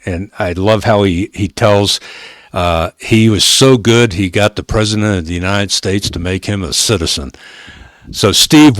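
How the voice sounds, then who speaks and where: A man hosting a podcast, speaking in an informal, halting, conversational way as he interviews a guest. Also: lowish voice, no room sound, studio quality. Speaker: podcast host.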